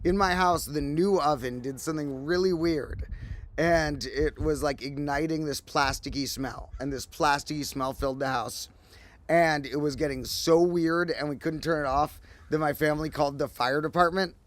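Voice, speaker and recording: A man hosting a casual livestream, his voice slightly hoarse, telling a rambling story with animated asides. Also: a little high, normal speed. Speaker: host. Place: outdoors, windy.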